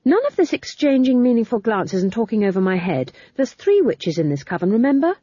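An earnest, insistent young witch with a lively, rising voice, as a woman reads her. The voice is slightly husky, the recording dry and a little muffled. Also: mid-range voice, unhurried, dry recording, voice pushed up, full character voice. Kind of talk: audiobook character voice.

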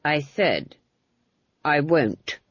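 A narrator voicing a mad, coldly menacing usurper duke, deliberate and defiant. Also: mid-range voice, very animated, a bit bright, slightly rough, no echo, highs cut off, heavily acted. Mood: cold defiance.

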